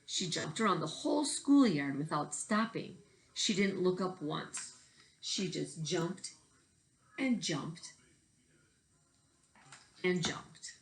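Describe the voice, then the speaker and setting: A woman's voice: on the low side, expressive, takes it slowly, long pauses, slight background noise, rough recording. A woman reading a storybook aloud in the manner of a teacher addressing children.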